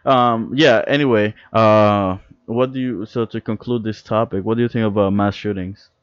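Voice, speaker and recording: A young man from the Dominican Republic, co-hosting a podcast in casual chat, excitable and rambling, cursing freely. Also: fairly low pitch, moderate pace, smooth, no room sound, lo-fi sound. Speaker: podcast co-host.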